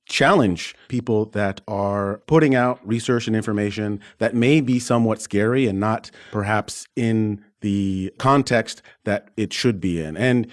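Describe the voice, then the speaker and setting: Man, podcast host, slightly deep voice, conversational interviewing, dry close sound. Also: unhurried, few pauses, no echo.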